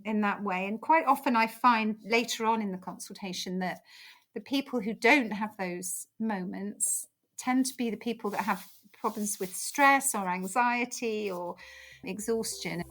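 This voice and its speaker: A middle-aged English woman, a poet, talking thoughtfully in an interview, her phrases trailing off softly. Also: medium pitch. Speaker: poet and guest. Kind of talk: conversational interview.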